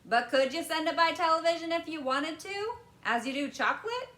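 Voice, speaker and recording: A woman voicing a brash, TV-obsessed young boy who shouts eagerly, in a storybook reading. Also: extremely high pitch, animated, fairly quick, voice pushed up, exaggerated voice. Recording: roomy echo.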